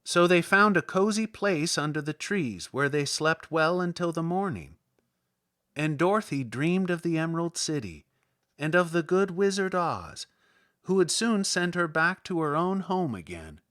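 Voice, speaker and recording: A man's voice: a little high, expressive, measured pace, a bit bright, no echo, studio quality. A man reading a children's storybook aloud as a scripted narration. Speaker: audiobook narrator.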